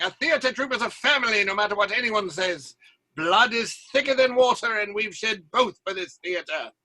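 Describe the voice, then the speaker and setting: Man acting a high, animated, fervent troupe leader. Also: exaggerated voice. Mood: commanding, rallying. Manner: emphatic, theatrical. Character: fervent, protective male leader of a theatre troupe. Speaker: actor in an audio play. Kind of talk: theatrical audio drama.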